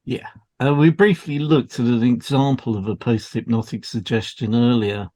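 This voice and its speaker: A man's voice: medium pitch, steady tone, moderate pace, dark tone. A man co-hosting an educational podcast, reading scripted material in an explanatory style. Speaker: podcast co-host, hypnotherapist.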